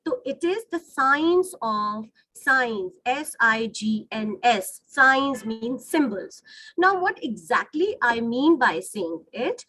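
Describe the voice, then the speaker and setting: High-pitched Indian woman teacher, bright voice. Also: sing-song, takes it slowly, dry recording, rough recording. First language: Hindi. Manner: didactic, repeats and rephrases points, checks in with listeners. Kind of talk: online lesson, explaining to students. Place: online video call.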